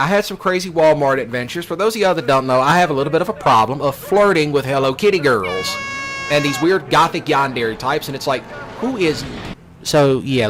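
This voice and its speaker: Animated Southern US man, rambling casually. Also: fairly high pitch. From Mississippi, US. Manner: colloquial, jokey, self-deprecating, quotes imagined listeners. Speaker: let's play streamer. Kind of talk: casual rambling rant over gameplay. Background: game music and vehicle sounds.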